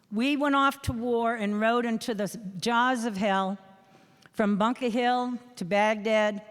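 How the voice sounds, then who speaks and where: A woman's voice: a little high, a bit bright, clear, resonant tone. An older woman with a New England accent, a veteran giving an earnest, emphatic speech at a school program. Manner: earnest, emphatic, with measured pauses. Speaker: veteran guest speaker. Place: school hall or gym. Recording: echoey hall.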